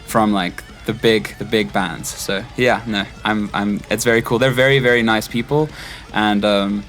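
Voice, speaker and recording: A man's voice: on the low side, fairly even intonation, moderate pace, fairly bright tone. A man in his twenties with a South African accent, telling personal stories casually as an interview guest. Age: 20s. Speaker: interview guest, stage crew technician. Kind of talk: casual chat, personal anecdotes.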